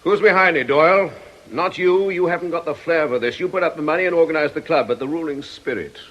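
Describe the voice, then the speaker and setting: A man playing a stern, forceful priest in a radio drama, grave, insistent and accusing. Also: fairly high pitch, fast talker, lo-fi sound, slight background noise, lightly acted. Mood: intense, accusing.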